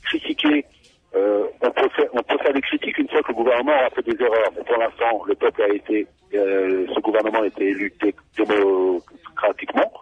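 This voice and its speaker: A man from France calling in to a radio show, speaking earnestly and insistently with halting restarts. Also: lowish voice, sing-song, breathy edge, no room sound. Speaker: caller. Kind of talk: phone-in call to talk radio. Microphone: telephone. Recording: muffled phone line.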